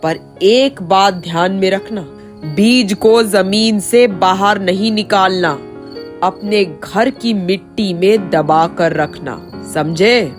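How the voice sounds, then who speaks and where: A woman voicing a stern, proud village landlord man with a commanding manner in an animated story, over music. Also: medium pitch, slow pace, pitched below the performer's own voice, natural voice. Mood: grudging, commanding. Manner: commanding, questioning.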